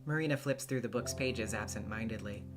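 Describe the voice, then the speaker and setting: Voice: medium pitch, a little fast. Scripted visual novel narration over music. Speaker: solo voice actor reading a visual novel.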